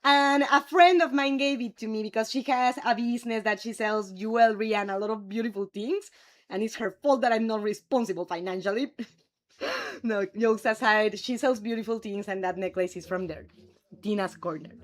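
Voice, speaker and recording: High-voiced woman with a Mexican accent. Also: normal speed. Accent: Mexican Spanish. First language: Spanish. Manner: friendly, answers viewers' questions aloud. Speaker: livestreamer. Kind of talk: casual live-stream chat reading comments.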